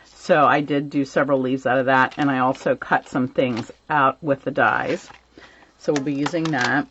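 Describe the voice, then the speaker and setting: A woman's voice: extremely low pitch, fairly even intonation, normal speed, a bit bright, slightly rough, muffled recording. A woman hosting a live stamping class from home, chatting casually with viewers and showing craft supplies, her voice a little worn as she says she is losing it. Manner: chatty, greets viewers by name, many ums. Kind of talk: casual live-stream chat and craft demo.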